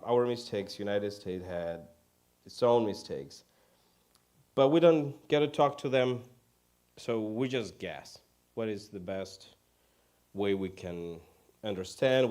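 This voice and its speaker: A man from Ukraine with a Ukrainian accent preaches informally in English as a second language, halting and self-deprecating. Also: lowish voice, darkish tone. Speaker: guest preacher. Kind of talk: informal sermon.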